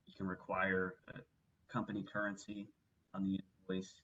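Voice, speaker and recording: A man working methodically through a software walkthrough as a webinar trainer, explaining each step. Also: low voice, completely flat delivery, moderate pace, lo-fi sound. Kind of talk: instructional software walkthrough, semi-scripted presentation.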